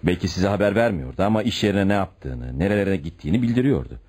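A hard-nosed police commissioner in a radio play. His voice is deep and husky, with animated rises and falls. He is curt, sarcastic and suspicious as he presses his questions. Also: fast talker, exaggerated voice. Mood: matter-of-fact, pressing. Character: blunt, tactless, suspicious male police commissioner. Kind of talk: radio theatre acting.

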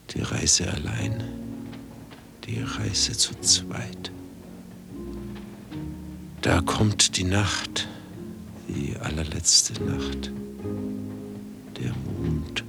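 Gravelly, theatrical man, music behind. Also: low voice, full character voice. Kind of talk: German radio drama acting.

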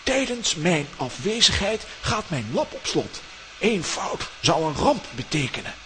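Fussy, animated professor. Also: male voice, on the high side, measured pace. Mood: irritated, instructive. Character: fussy, irritable but helpful male professor. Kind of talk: children's radio play acting. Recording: clearly noisy recording with clicks.

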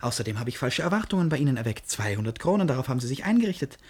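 An actor in a German radio play playing an eccentric, insistent lovesick gentleman with formal, theatrical speech and a husky voice. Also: mid-range voice, big pitch swings. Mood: courteous, probing. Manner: formal, theatrical, halting then pressing, abrupt turns.

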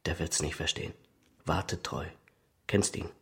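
An actor playing a desperate, jobless man in a radio drama, with a husky, raspy voice. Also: a bass voice, steady tone, normal speed, exaggerated voice. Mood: grim, subdued. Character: desperate, jobless father pleading for help.

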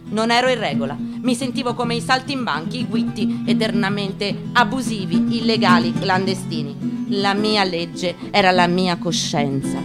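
A woman reads a literary memoir on stage over clearly audible guitar. Her delivery is deliberate and declamatory, set out in short phrases with pauses, at a middling pitch. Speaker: actress giving a staged reading. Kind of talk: dramatic literary reading of a first-person memoir with guitar. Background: acoustic guitar playing.

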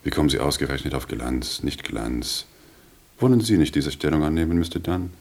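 An actor playing a composed, wealthy businessman back from America in a German radio play, polite and deliberate. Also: a bass voice, a little mellow. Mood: businesslike.

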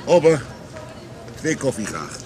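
An actor playing a polite, businesslike police inspector in a radio play. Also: male voice, medium pitch, sing-song, slow, a little mellow. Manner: courteous, businesslike.

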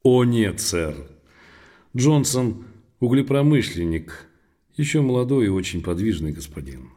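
An actor playing a polite, helpful hotel clerk in an audio drama, speaking with assured deference. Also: male voice, on the low side, big pitch swings, unhurried, mellow. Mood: obliging, assured.

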